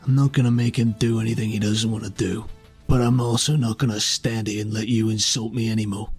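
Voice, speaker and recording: A man voicing a proud, bitter armoured villain in a tabletop game, taunting and threatening, with a slightly husky voice. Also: on the low side, level tone, brisk, darkish tone. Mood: cold threat. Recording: music behind the voice.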